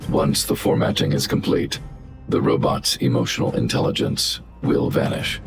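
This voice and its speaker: A man playing a calm, logical robot guardian in a video game, speaking in a measured, formal, emotionless way. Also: faint music behind, close to an everyday voice. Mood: flat, analytical. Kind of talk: scripted video game voice acting.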